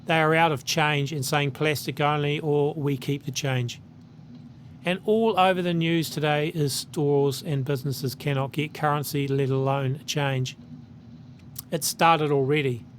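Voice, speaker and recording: A man's voice: on the high side, fairly even intonation, unhurried, slight background noise. A man with a New Zealand accent, hosting his channel and earnestly reading a letter aloud.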